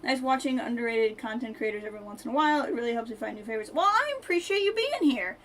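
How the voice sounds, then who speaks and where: A woman's voice: fairly high pitch, big pitch swings, quick pace, a bit bright. A woman giving casual, chatty live gameplay commentary, thinking aloud and talking to viewers as she plays. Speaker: game streamer.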